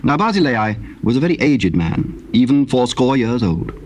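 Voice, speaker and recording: A man's voice: medium pitch, sing-song, normal speed, a little mellow. Solemn male scripture narrator, faint tape noise. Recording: noisy old cassette tape.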